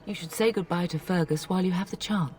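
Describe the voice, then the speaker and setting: Actress as a distant-sounding noble mother, gently firm. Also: fairly low pitch, fast, lightly acted. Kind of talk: video game voice acting.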